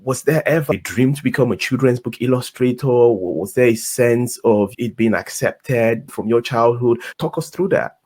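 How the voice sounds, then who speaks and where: Husky-voiced curious interview host. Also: medium pitch, normal speed, hardly any pauses, a little mellow. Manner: curious, asks questions in rambling stacked sentences. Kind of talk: casual interview conversation.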